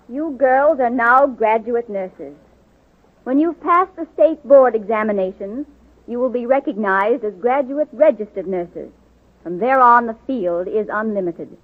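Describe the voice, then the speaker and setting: An actress playing a solemn senior woman giving a formal, earnest speech to graduates in a 1930s radio drama. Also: on the high side. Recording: tinny 1930s radio recording.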